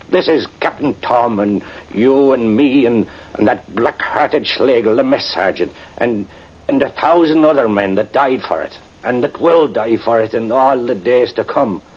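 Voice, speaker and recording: An actor playing a proud, sentimental, tipsy Irish cavalry sergeant in a radio drama, rambling and halting with emphatic turns. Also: male voice, fairly low pitch, darkish tone, slightly rough. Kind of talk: 1950s radio drama acting. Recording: muffled, noisy vintage radio recording.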